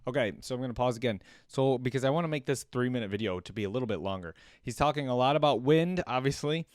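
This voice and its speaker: A man's voice: mid-range voice, animated, fast talker, a little mellow, clean tone, no room sound, studio quality. A man giving casual, informal reaction commentary as a streamer, thinking aloud as he goes. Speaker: streamer reacting to game videos.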